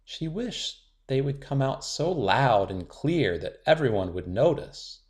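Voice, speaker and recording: A man reading a children's picture book aloud in a gentle, expressive storytelling manner. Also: mid-range voice, unhurried. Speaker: storybook reader.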